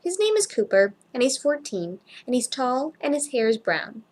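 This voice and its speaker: Woman voicing a chatty, high-pitched little boy. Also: big pitch swings, normal speed. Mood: upset, plaintive. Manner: childlike, simple run-on sentences. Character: chatty, earnest four-year-old boy, proud and easily upset.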